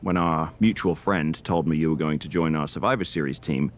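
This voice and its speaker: A diplomatic general manager in a wrestling game. His voice is deep, and he talks in formal, slightly florid phrases, always placating and negotiating. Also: a little fast. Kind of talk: scripted video game cutscene acting.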